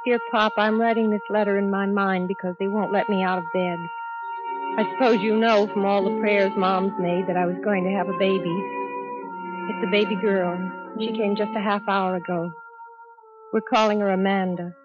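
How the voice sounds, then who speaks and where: A woman's voice: mid-range voice, flat delivery, normal speed, over loud music, natural voice. An actress playing a young new mother in a 1950s radio drama, confiding and wistful. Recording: muffled vintage radio recording.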